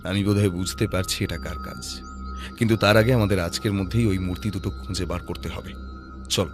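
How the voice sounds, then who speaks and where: An actor playing a shrewd, composed male detective who reasons in a deliberate, analytical way in an audio drama. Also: low-pitched, steady tone, over music, natural voice. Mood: deducing, decisive.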